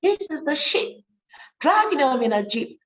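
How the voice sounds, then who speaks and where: A woman teacher reading a rhyming story for children, with an encouraging manner and deliberate, emphatic delivery. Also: sits high, big pitch swings, far from the mic. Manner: encouraging, praising, addressing children directly.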